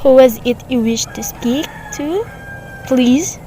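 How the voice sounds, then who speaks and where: A woman's voice: high-pitched, slow pace, warm tone, faint music behind, low-quality recording. A woman playing a polite, formal, unhelpful switchboard clerk in a radio play, courteous and detached. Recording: amateur recording with faint background noise.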